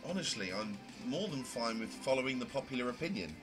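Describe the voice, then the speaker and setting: A man's voice: medium pitch, lively intonation, a little fast, natural voice. A man voicing a naive, chatty, prim young newcomer who narrates a visual novel in a wordy, rambling way. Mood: disapproving, wary. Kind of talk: amateur visual novel voice acting, first-person narration. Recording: music under the voice. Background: music.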